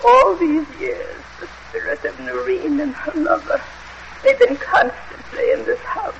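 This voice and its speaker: An actress playing a frail, dying old woman servant in a radio horror drama, quavering, halting and anguished. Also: very high-pitched, big pitch swings, heavily acted. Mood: dying, distraught. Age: older. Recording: muffled, hissy old radio drama recording.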